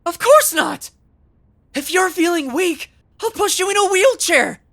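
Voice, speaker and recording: Woman acting high-voiced eager rookie detective. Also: lively intonation, a little slow, fairly bright tone, full character voice. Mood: urgent, pleading. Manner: earnest, exclamatory. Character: earnest, excitable young male police detective, naive and eager. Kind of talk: anime dub voice acting.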